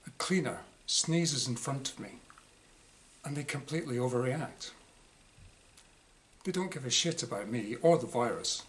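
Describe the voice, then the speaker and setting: A man's voice: mid-range voice, lively intonation, moderate pace, pauses often. A man reading his own first-person diary short story aloud in a wry, exasperated, dramatised way. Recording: clear background noise.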